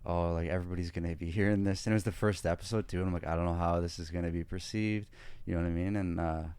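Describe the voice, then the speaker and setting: A man's voice: sits low, steady tone, racing, darkish tone, smooth, dry recording. A man hosting a podcast, talking in a casual, hesitant way with many fillers. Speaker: podcast host.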